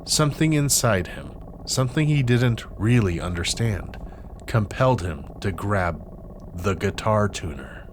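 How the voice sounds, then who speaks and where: A man narrating an interactive audio drama in a suspenseful, theatrical scripted style with dramatic pauses. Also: fairly low pitch, a little hiss or hum. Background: music.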